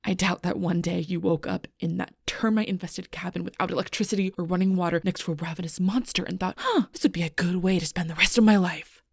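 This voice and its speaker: A woman playing a fierce, protective alpha werewolf in an audio roleplay, bitter and confrontational, with a breathy, rough-edged voice. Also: fairly low pitch, fast, few pauses, dry recording, studio quality. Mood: angry, exasperated. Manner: intense, confrontational, swearing, often dropping to near-whisper. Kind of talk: audio roleplay acting addressed to the listener.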